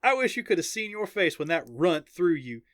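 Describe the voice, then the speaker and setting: Man voicing a loud, mocking male teammate. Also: high voice, sing-song, normal speed, no echo, studio quality, pitched above the performer's own voice. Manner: teasing, exaggerated. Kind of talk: audiobook character dialogue.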